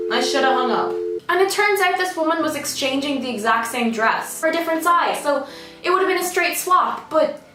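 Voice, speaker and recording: A young woman from Ireland, with an accent that is partly American-sounding, chats sarcastically through retail stories and swears freely. Her voice sits a little high. The sound is roomy and set back from the mic, with quiet music playing underneath. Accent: Irish, partly American-sounding. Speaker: vlogger. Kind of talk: casual storytime monologue. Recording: roomy, slightly distant, music bed.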